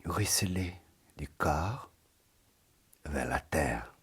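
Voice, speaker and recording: A man guiding a yoga nidra session in a very breathy, husky, low voice. He speaks slowly and calmly, and his lines often sink into a gentle half-whisper. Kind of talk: guided meditation.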